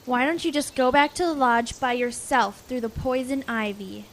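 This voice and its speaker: A high-voiced, adventurous young girl played by a woman in an audio drama. She is bossy and emphatic, with faint outdoor noise behind her. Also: normal speed. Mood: scornful.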